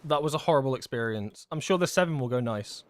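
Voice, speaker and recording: Young guy rambling through his stream, voice getting hoarse, bouncy lively pitch, mid-height voice. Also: quick pace, no room sound. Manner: chatty, self-deprecating, excitable outbursts. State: voice going hoarse. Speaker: gaming streamer. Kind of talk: casual livestream commentary while gaming.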